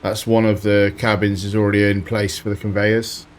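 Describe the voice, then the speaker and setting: A man with a slight sore throat giving relaxed commentary while playing a driving game, thinking aloud as he goes. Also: on the low side, normal speed, faint music behind. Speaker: let's play host. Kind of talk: casual gameplay commentary.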